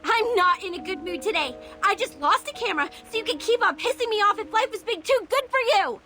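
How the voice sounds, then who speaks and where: A brash young female film director for a video game. Her voice is very high and bright, with a hard edge. She is snappy, combative and quick to temper. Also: lively intonation, faint music behind, heavily acted. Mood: angry, threatening. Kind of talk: dramatic video game voice acting.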